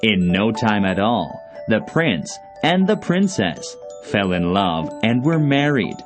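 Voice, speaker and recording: A male narrator reads a children's storybook in a fairly low voice. His delivery is unhurried and lively in intonation, with faint music behind him.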